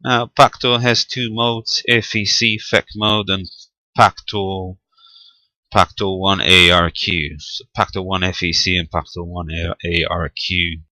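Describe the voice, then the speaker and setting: A man giving an unscripted hands-on technical tutorial as an amateur radio demonstrator, matter-of-fact and halting as he thinks aloud. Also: low voice, fairly even intonation, bright, forceful tone, no room sound.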